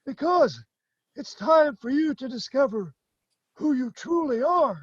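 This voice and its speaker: A man playing an old, half-deaf grandfather shoemaker who talks in a slow, wandering way. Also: very high voice, lively intonation, no room sound, highs cut off. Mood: loud. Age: older. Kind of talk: stage play acting.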